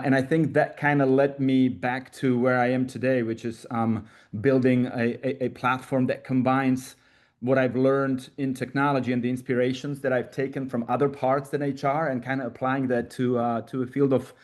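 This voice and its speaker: Fifty-something man with a Slovak accent; polite, measured guest, mid-range voice. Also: medium pitch, a little mellow. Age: 50s. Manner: measured and polite, with brief restarts. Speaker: guest speaker, tech founder. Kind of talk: webinar self-introduction.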